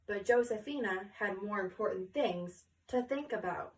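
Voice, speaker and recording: A woman reading a picture book aloud to children in an expressive storytelling style. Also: mid-range voice, normal speed. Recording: echoey room, distant mic.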